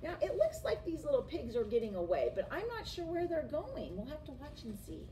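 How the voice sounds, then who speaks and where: A woman's voice: sits high, big pitch swings, a little fast, mellow, low-quality recording. A middle-aged woman reading a picture book expressively to children in a classroom. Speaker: storyteller, teacher. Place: small classroom, indoors. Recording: clear background room noise.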